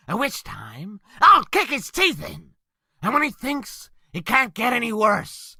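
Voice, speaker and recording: A man's voice: very high voice, vocal fry, pushed and bright, breathy edge, dry recording, clean studio sound, heavily acted. A man voicing a gleeful, sadistic male demon clown who boasts with gory bravado in a fan comic dub.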